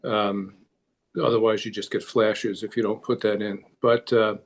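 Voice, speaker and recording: A man's voice: on the low side, flat delivery, a little slow. A man, a club member on a conference call, casually explaining technical details and offering advice.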